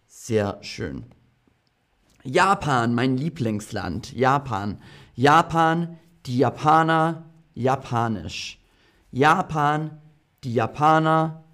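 A man teaching a slow classroom language lesson to a group of students, repeating each word and phrase clearly for learners. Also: medium pitch. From half German, half British. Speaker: German teacher.

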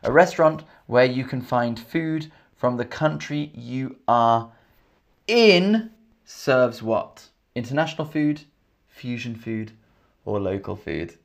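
A man from England with an English accent, teaching a live online English lesson, slowly repeating each question and thanking viewers by name. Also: mid-range voice, expressive, creaky. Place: indoors, in Scotland.

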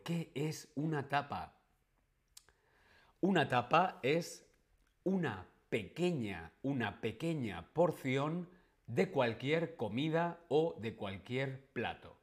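Man, Spanish teacher, live stream lesson, very slow deliberate pace, mid pitch, repeats words. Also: a bit bright. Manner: reads viewer chat questions aloud.

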